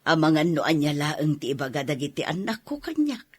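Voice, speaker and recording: A woman's voice: low voice, sing-song, a little fast, breathy edge, heavily acted. An actress with an Ilocano accent playing a bashful middle-aged mother in a radio drama. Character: widowed mother around fifty, bashful about new romance.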